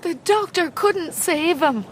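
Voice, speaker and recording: An actress playing a protective young Irish sister in a radio drama, urgent, firm and pleading. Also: female voice, very high-pitched, expressive, slow pace, a little hiss or hum, exaggerated voice.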